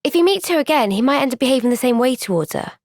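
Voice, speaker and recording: An actress playing an earnest, gentle but firm young woman fighter in a video game, speaking in a measured, sincere way. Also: sits high, lively intonation, dry recording, studio quality. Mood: thoughtful. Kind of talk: video game cutscene voice acting.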